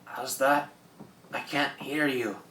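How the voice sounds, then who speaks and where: An actor playing an ancient French pirate captain in a radio drama, proud and ailing, with halting speech and French exclamations. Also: medium pitch, fairly even intonation, sharp tone. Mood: ailing, confused. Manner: theatrical, boastful, peppered with French exclamations. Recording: echoey room, distant mic, background noise.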